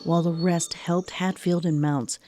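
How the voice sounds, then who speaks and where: A woman narrating a scripted radio drama in a measured storytelling manner. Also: lowish voice, steady tone, mellow.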